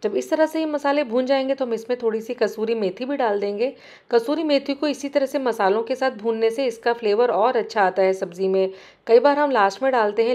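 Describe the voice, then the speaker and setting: A woman talks viewers through a home recipe step by step, friendly and unhurried. Her voice sits a little high, and she narrates over the cooking rather than to a camera. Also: mellow. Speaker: cooking channel host.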